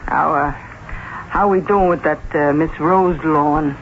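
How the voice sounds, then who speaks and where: A woman's voice: low voice, steady tone, takes it slowly. Mature landlady, plain-spoken, nosy, folksy, prodding, radio drama, dull hissy recording. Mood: encouraging. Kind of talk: old-time radio drama acting.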